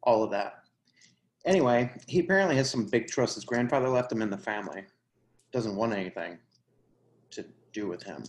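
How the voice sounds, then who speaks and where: A woman's voice: very deep voice, lively intonation, a little fast, pauses often, a little mellow. A woman playing a polite, flustered and chatty newcomer in a stage play reading, a little out of breath. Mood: gossipy. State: out of breath, acted. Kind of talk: readers theatre play reading.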